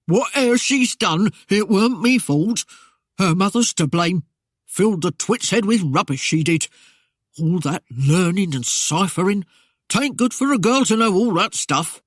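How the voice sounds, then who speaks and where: A man's voice: sits high, lively intonation, unhurried, no room sound, studio quality, pitched above the performer's own voice. A man voicing a greedy, cowardly middle-aged mayor with a whining, coarse manner. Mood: wheedling. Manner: whining, wheedling, coarse dialect speech. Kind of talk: audiobook character voice.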